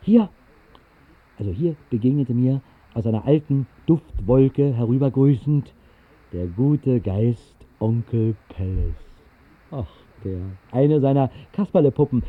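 Casting: wry, whimsical narrator of a 1960s radio play, mid-range voice, very lively intonation, musing conversational delivery, slightly muffled vintage sound. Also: male voice, mid-range voice, slightly rough. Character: whimsical man recounting a fantastical night flight over the city.

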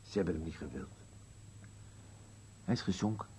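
An actor playing a gloomy, contrary elderly husband in a radio play, speaking in terse, musing contradictions. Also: male voice, low-pitched, expressive, normal speed, mellow, a little hiss or hum. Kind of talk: Dutch-language radio play acting.

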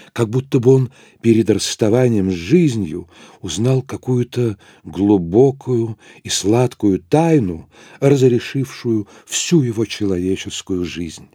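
A man narrating a literary audio drama in a measured, solemn storytelling manner. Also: lowish voice, dark tone, slightly rough, no room sound, studio quality.

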